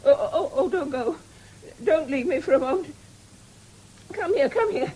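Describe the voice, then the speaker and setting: An actress playing a querulous, suspicious and frightened old invalid mistress in a radio drama, with an imperious, fretful manner. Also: female voice, high-pitched, animated, measured pace, mellow. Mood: fearful, clinging. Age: older. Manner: imperious, fretful, muttering and exclaiming. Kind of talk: 1970s radio drama acting.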